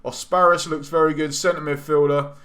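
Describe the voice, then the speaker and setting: A man giving casual, rambling commentary over a football management game, his voice going a little hoarse. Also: fairly high pitch, little pitch movement, moderate pace, fairly bright tone. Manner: chatty, rambling, with frequent ums and self-corrections. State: losing his voice. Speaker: gaming YouTuber.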